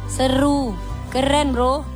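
A woman's voice: sits high, little pitch movement, slow, over music. An actress playing an outspoken young female student with a Batak accent, speaking emphatically. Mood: passionate. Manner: emphatic, rallying. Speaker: university student.

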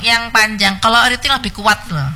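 A woman's voice: fairly high pitch, fairly even intonation, brisk, sharp tone, a little hiss or hum. A middle-aged woman, hosting a casual, chatty radio call-in show. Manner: chatty, laughs often. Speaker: radio host.

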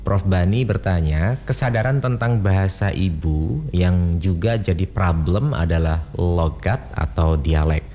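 The deep-voiced, mellow man who hosts a regional radio evening talk show, passing questions to his guests. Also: unhurried. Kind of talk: moderating a panel discussion.

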